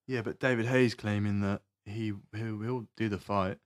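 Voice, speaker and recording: A man's voice: lowish voice, steady tone, normal speed. A young adult British man hosting a casual podcast chat. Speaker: podcast host.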